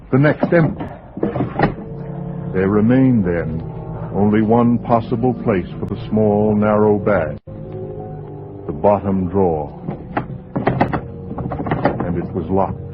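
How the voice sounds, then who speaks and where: An actor narrating a 1940s radio suspense drama in a measured, dramatic way, with weighty pauses. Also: male voice, fairly low pitch, noisy background, close to an everyday voice. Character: grave male narrator of a suspense tale. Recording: muffled 1940s radio recording.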